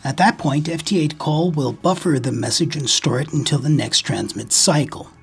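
A man giving scripted instructional narration as the host of a ham radio video, speaking in a measured, explanatory way. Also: a little high, creaky, husky, soft background music.

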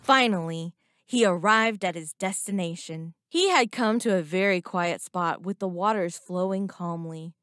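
A woman reading a scripted moral story for children in a deliberate, expressive storytelling manner. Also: fairly low pitch. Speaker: narrator.

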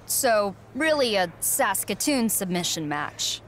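A cocky, sharp-tongued young wrestler. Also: female voice, mid-range voice, sing-song, takes it slowly, a little hiss or hum. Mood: teasing. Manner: dry, teasing, confident. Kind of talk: video game cutscene dialogue.

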